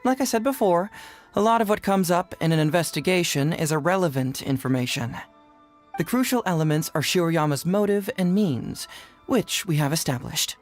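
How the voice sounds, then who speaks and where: A man's voice: on the high side, animated, normal speed, natural voice. A young man playing a clever, playful, self-assured detective in a video game, articulate and teasing. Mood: explaining, confident. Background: game music.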